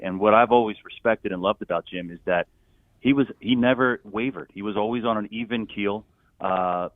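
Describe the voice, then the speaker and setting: Man, middle-aged, deep low voice, tinny phone line, dry sound, rambling casual talk. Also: dry recording. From Philadelphia. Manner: excited, rambling with many fillers and restarts. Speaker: stadium PA announcer as guest.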